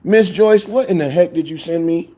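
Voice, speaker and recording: A young man hosts a casual fan show in an easygoing, excitable way. His voice sits fairly high for a man. He talks in slang and repeats phrases when he gets worked up. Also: normal speed, heavy background noise. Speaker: sports fan show host. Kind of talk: casual live-stream chat.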